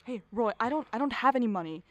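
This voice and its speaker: A woman acts out a fretful, anxious mother of the bride in a radio play. Her voice sits a little high, with dramatic, complaining delivery. The recording is dry. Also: lively intonation, fast, no echo, close to an everyday voice.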